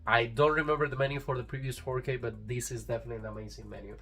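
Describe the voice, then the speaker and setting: A man's voice: medium pitch, fairly even intonation, rapid-fire, bright, forceful tone, soft background music. Enthusiastic man with a Latin American Spanish accent. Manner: enthusiastic, rambling with fillers and restarts. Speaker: YouTube reviewer. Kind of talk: casual unboxing review.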